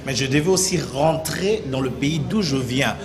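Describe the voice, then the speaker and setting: A gospel singer from Congo answering TV interview questions, hesitant, with many fillers and restarts. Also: male voice, mid-range voice, moderate pace, echoey room, a crowd in the background.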